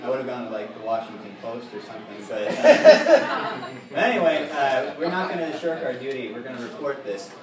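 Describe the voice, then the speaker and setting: A man co-hosting a live comedy show, reading a mock news report in a halting, dry way full of "uh"s. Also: medium pitch, sing-song. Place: comedy bar stage with live audience. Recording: echoey live room from far off, loud crowd.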